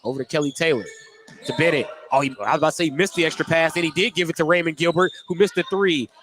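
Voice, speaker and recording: A man's voice: a little high, steady tone, rapid-fire, fairly bright tone, soft background music. A man doing live radio play-by-play of a basketball game, describing the action in short running phrases on a headset mic. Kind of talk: live radio sports commentary. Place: indoor basketball gym. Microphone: headset microphone. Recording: gym noise behind headset commentary.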